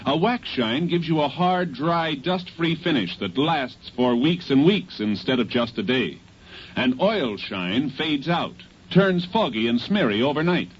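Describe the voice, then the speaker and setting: A man's voice: mid-range voice, animated, measured pace, husky. An American man, a polished, persuasive announcer reading advertising on mid-century radio. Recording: muffled vintage radio recording.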